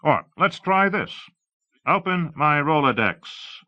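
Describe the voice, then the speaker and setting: A man's voice: a little high, sing-song, takes it slowly, bright, forceful tone, voice pushed up, full character voice. A man narrating an audiobook, voicing an eager, showy salesman with emphatic, carefully enunciated speech in slightly muffled audio. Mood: commanding, proud. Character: eager, showy salesman demonstrating voice software to an audience. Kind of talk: audiobook character dialogue.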